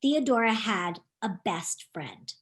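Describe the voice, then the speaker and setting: A woman reading a children's book aloud in an expressive, playful storytime style, chatting to young listeners as she goes. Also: mid-range voice, takes it slowly. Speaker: author reading her own children's book.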